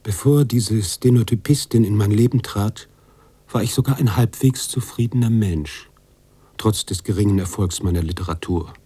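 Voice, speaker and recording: An actor playing a brooding, guilt-ridden writer who narrates a radio drama in a deliberate, confessional way. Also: male voice, lowish voice, dry recording. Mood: doubting, brooding. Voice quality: husky, hushed at times. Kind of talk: literary radio drama monologue.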